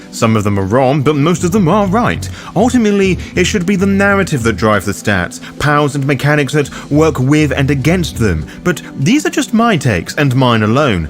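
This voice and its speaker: Guy narrating a scripted guide video, kinda theatrical, lively up and down delivery, music playing behind him. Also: mid-range voice. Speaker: host of a roleplay guide.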